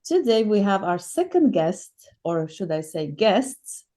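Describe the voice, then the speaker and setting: A woman's voice: medium pitch, sing-song, a little slow, warm tone. A woman with a Slovak accent hosting a casual interview podcast, keen and full of eager interjections. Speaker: podcast host.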